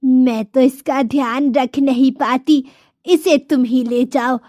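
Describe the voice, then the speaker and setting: A woman voicing a kindly, frail, lonely old village woman in a cartoon, gentle and motherly. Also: high-pitched, steady tone, takes it slowly, dry recording, clean studio sound. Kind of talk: Hindi moral-story cartoon dialogue.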